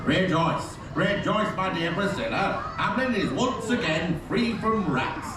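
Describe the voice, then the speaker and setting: A man's voice: sits high, animated, unhurried. A man playing a pompous, greedy Lord Mayor in a children's puppet show, with blustering theatrical delivery. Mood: falsely jovial. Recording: echoey noisy theatre from far off.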